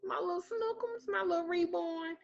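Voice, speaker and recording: A woman in her forties, a spiritual reader, telling stories on a livestream in a blunt, profane, animated way. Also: extremely high pitch, normal speed, gravelly. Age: 40s. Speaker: spiritual reader and root worker. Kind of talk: casual livestream talk. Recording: muffled lo-fi stream audio.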